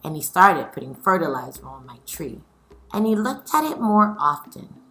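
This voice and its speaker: A woman teacher reading a children's story aloud and discussing it in an expressive style. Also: low-pitched, moderate pace, poor audio.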